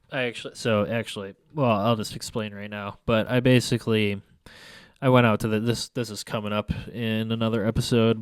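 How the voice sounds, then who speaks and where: Young man, congested, low-pitched, smooth, rambling with fillers, dry close sound. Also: dry recording. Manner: rambling, hesitant, many fillers and restarts. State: congested, stuffy nose. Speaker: photography vlogger. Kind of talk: casual photo review commentary. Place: small room at home by a window.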